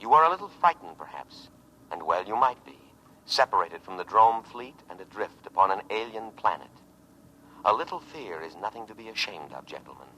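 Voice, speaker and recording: An actor playing a calm, pompous, paranoid war machine, a talking lifeboat, with solemn, measured oratory in an old radio drama. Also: male voice, on the low side, slight background noise. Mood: solemnly consoling. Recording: muffled vintage radio broadcast recording.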